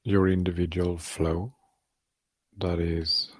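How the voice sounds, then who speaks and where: A man leading a guided meditation, speaking softly and calmly in short, halting phrases with long pauses. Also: sits low, husky, no echo.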